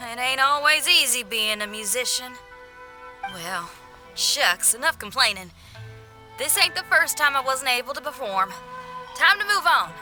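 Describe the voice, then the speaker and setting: Bright, lively young folksy rocker. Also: female voice, high voice, a little slow, full character voice, poor audio. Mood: resilient, upbeat. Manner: folksy, expressive. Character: spirited young female rock musician, folksy and tenacious. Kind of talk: video game cutscene voice acting. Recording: faint music behind.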